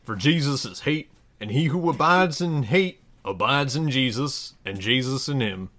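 A man's voice: medium pitch, expressive, measured pace, fairly bright tone. Man putting on a solemn, dramatic scripture-reading preacher. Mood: solemn, declamatory.

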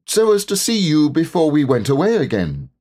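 A man voicing a humble, slow-witted, good-natured bear in a storybook, speaking in a hesitant, muddled way. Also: on the high side, wildly swooping pitch, a little mellow, voice pushed up. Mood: friendly hesitant.

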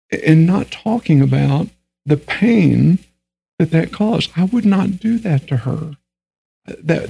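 A man's voice: fairly high pitch, vocal fry, mellow, slightly rough, dry recording, rough recording. A man from Texas with a Texan accent, speaking as a guest on a discussion panel in an earnest, emphatic way, sometimes acting out the voices he quotes. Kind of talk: candid discussion panel talk.

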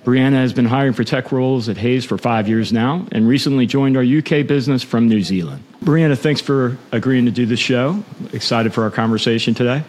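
A man's voice: medium pitch, brisk, hardly any pauses, darkish tone, a little hiss or hum. A man hosting a podcast about careers, introducing guests and asking questions in a friendly, encouraging way. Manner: friendly, encouraging, brief stumbling restarts. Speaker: podcast host.

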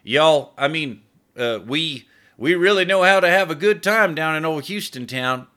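A man's voice: fairly high pitch, expressive, moderate pace, pushed and bright, pitched above the performer's own voice. A man doing a folksy, drawling tired athlete. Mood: easygoing. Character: tired, laid-back male athlete, folksy.

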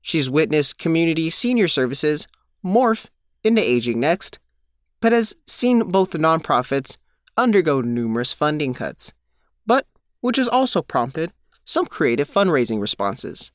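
A man's voice: fairly high pitch, sing-song, a little slow, no echo, clean studio sound. A man narrating a scripted news feature as a reporter.

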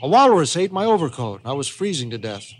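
A man's voice: on the high side, big pitch swings, quick pace, slight background noise. A man voicing an eccentric old grandfather who tells tall tales in an earnest, emphatic way for a storybook. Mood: earnest, boastful. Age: older.

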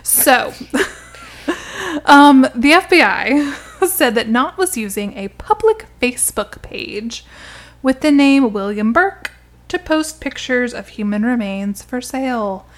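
A woman co-hosting a podcast in casual comedic chat, giggly with deadpan jokes, sometimes reading out news. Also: high-pitched, slow. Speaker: podcast co-host.

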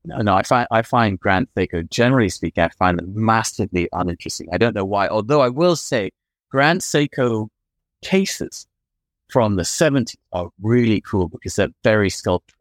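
A man with a fairly low voice speaks as a guest on a podcast. He talks at length in an enthusiastic, rambling way. The sound is dry and close-miked. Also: expressive, normal speed, dry recording. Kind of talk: casual interview chat.

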